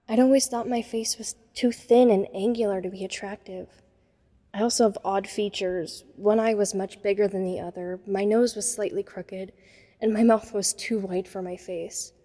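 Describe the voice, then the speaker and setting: Woman acting as self-conscious teen science nerd, female, mellow tone, first-person story narration, long reverb. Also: mid-range voice, natural voice. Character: self-conscious teenage science-nerd girl, wryly observant. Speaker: narrator and lead character. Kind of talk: first-person scripted audio drama narration.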